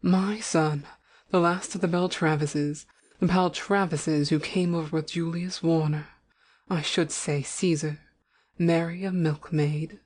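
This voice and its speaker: A woman's voice: low-pitched, fairly even intonation, unhurried, darkish tone. A woman playing a cold, imperious aristocratic mother in a dramatic audiobook, stern and declamatory. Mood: outraged.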